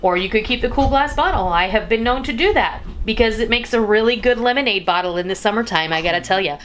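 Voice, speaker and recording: A woman's voice: a little high, lively intonation, fast, a bit bright. A middle-aged woman giving a casual, chatty home vlog narration in a folksy, enthusiastic way. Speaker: home cooking vlogger and host. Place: room at home. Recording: clear background noise.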